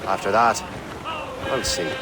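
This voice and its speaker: A man's voice: lowish voice, unhurried, natural voice. A man voicing a wry, sceptical sea captain in a video game, plain-spoken and dry. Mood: wry, guarded. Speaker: ship's captain. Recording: wind and sea noise. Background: ship, waves and wind.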